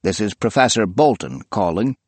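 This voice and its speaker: A man voicing a patient, matter-of-fact professor in a plain, even tone close to his own voice. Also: lowish voice, normal speed, slightly rough, dry recording. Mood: calm. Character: calm, measured male time-travel professor.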